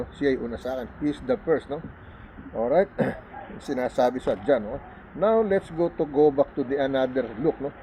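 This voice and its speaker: A man with a Filipino accent reading Bible verses slowly and preaching informally, stumbling over words and tagging lines with "no?" and "okay?". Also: on the high side, dark tone, a little hiss or hum, rough recording. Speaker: lay preacher.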